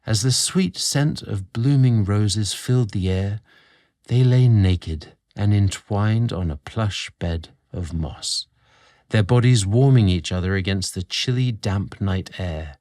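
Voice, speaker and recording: A man narrating a scripted romantic story in a measured, expressive storybook manner. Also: lowish voice.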